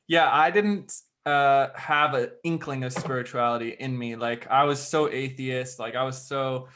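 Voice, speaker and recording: A young man co-hosts a podcast in a laid-back way, full of "like" and casual swearing. His voice sits at an ordinary middle pitch. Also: moderate pace, fairly bright tone. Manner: casual, lots of "like", swears freely. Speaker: podcast co-host.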